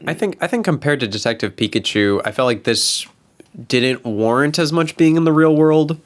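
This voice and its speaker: A man's voice: on the high side, expressive, normal speed. A man in a casual panel chat, speaking in a rambling, conversational way. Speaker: talk show panelist.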